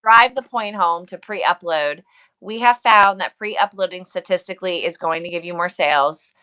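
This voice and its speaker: A woman's voice: on the low side, normal speed, bright, forceful tone, clear, resonant tone, low-quality recording. A middle-aged woman co-hosting a casual, unscripted livestream, chatty and jokey, often repeating her phrases. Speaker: co-host of a vintage seller livestream.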